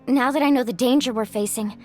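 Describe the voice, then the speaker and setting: Woman as high-pitched, earnest young girl warrior. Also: fast, darkish tone, faint music behind, lightly acted. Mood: troubled. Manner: hesitant, earnest. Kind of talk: video game voice acting.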